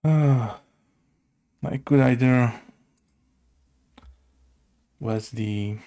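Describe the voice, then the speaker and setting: A man's voice: mid-range voice, extremely slow, mellow. A man thinking aloud through a casual coding tutorial, hesitant and muttering to himself in short fragments. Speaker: game development tutorial host.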